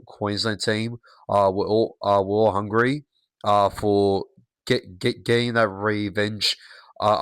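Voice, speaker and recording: Man, young adult, croaky voice, halting speech, lots of fillers, ranting podcast host, dry close sound. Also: fairly low pitch, fairly bright tone, no echo. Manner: halting, many fillers and stutters, gets heated. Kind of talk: solo sports review rant.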